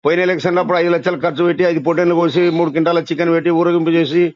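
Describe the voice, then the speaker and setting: A high-pitched man with a Rayalaseema Telugu accent talks extremely fast over a muffled phone recording. His speech is rustic and emphatic, the talk of someone airing grievances in a candid conversation. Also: little pitch movement, dry recording. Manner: emphatic, complaining, colloquial rural speech. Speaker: local party leader being surveyed by phone. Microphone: telephone. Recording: muffled lo-fi leaked phone call.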